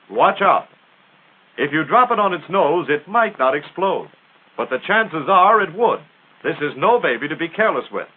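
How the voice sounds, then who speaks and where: A man's voice: fairly high pitch, husky. An American man narrating a 1940s military training film in a punchy, emphatic, instructional manner, on a tinny old soundtrack.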